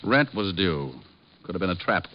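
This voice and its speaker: A man's voice: sits low, very animated, moderate pace. An actor playing a cocky, wisecracking American private detective in an old radio drama, wry and sardonic as he talks and narrates. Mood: flippant. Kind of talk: 1940s-50s radio detective drama acting, first-person narration. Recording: muffled old radio recording, music behind.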